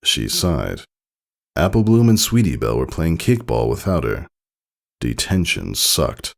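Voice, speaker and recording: Male story narrator, deep and fry-laden, expressive scripted reading. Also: unhurried. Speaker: fan fiction reader.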